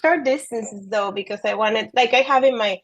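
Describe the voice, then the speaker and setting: A woman in her thirties from Peru, speaking English as an as a podcast guest, soft-spoken and hesitant with frequent ums and light laughs. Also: a little high, normal speed. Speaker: guest athlete. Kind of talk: casual interview.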